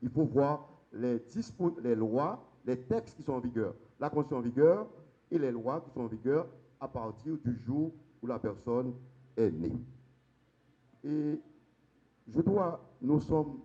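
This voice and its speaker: A man's voice: medium pitch, animated, a little slow, lo-fi sound. A Haitian man on a law panel, explaining the constitution emphatically. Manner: emphatic, stresses points with sharp rises. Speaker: lawyer on a conference panel.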